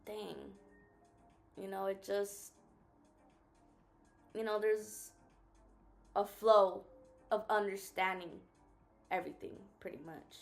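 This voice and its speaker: A woman whose first language is Spanish talks slowly and thoughtfully as a co-host, pausing to search for her words. She sounds tired, and soft music plays faintly behind her. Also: medium pitch, steady tone, creaky. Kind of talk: casual reflective chat.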